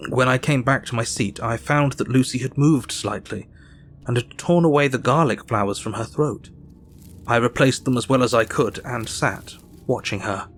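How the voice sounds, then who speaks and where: A man plays a melancholy Victorian doctor giving a diary account in an audio drama. He is weary and measured, in a middle-pitched voice over faint music. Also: close to an everyday voice.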